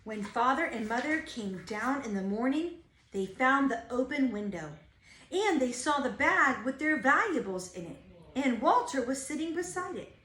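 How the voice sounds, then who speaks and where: A woman's voice: high voice, big pitch swings, normal speed, fairly bright tone. A woman reading a children's storybook aloud like a teacher, expressively stressing key words. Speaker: teacher reading a picture book. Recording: roomy, echoing home-style recording.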